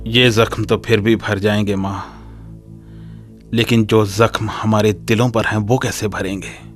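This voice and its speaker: A man's voice: fairly low pitch, little pitch movement, measured pace, faint music behind, close to an everyday voice. A man playing a defiant, bitter young student in a radio drama, speaking emphatically and argumentatively. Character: defiant, hot-headed young male student, wounded and bitter.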